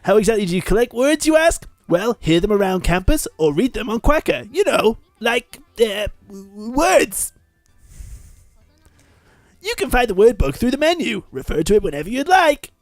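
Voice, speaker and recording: Lady doing a teasing fox demon guide in a game, smug explainer, lively delivery. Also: on the high side, normal speed, dry recording, soft background music. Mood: smug, instructive.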